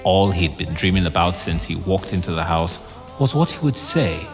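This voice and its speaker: A dramatic male storyteller, narrating an audio drama in a lowish voice with lively, swooping intonation. A long reverb trails the words, and faint music plays beneath. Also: normal speed. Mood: romantic, dramatic.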